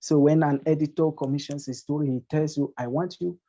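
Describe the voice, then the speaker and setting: A man's voice: fairly high pitch, fairly quick, mellow. A man from Cameroon, a journalist, explaining his reporting fluently on a webinar over a video call. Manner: fluent, explanatory, repeats phrases. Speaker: award-winning journalist. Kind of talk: webinar panel answer. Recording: dull lo-fi call audio.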